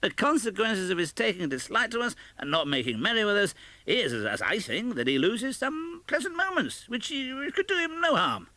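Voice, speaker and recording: High, bubbling, jolly young gentleman. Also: fairly quick, no echo, full character voice. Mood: cheerful, pitying. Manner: chuckling, bubbling, excitable, stumbling over words. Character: jolly, hearty young gentleman, laughing Victorian nephew. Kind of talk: classic storybook audiobook character voice.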